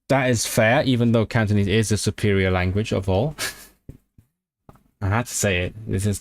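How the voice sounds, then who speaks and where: A man talks to his viewers in a loose, rambling livestream style. His voice sits a little low. He corrects himself often and fills pauses as he goes. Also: a little mellow. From Hong Kong. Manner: rambling, with fillers and self-corrections. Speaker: language YouTuber on a livestream. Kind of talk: casual livestream chat answering viewers.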